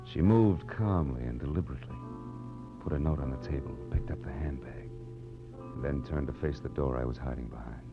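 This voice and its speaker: An actor playing a hard-boiled, world-weary private detective in a 1940s radio drama, with an American accent and clipped, deadpan delivery. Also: male voice, a bass voice, brisk. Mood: tense, measured. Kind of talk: 1940s radio noir acting, first-person narration. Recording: muffled old radio recording with music.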